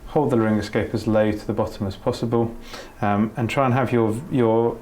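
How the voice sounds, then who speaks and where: Low-voiced man teaching a practical skill. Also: steady tone, brisk, darkish tone, slight background noise. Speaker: doctor giving a training demonstration. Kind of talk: spoken practical instruction.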